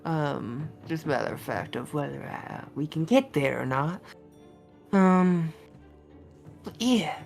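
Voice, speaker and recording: A woman voicing a Southern adventurer in a tabletop game, talking haltingly and folksily with a Southern US accent. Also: sits low, animated, creaky, a little mellow, soft background music, exaggerated voice. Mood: worried, explaining. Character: Southern-drawling adventurer woman addressing companions as darlings.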